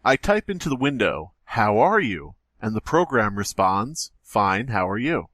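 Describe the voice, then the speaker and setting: A man's voice: fairly low pitch, very animated, measured pace, a bit bright, dry recording, clean studio sound. A man co-hosting a podcast, casually reading a story and breaking in with wisecracks. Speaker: podcast co-host.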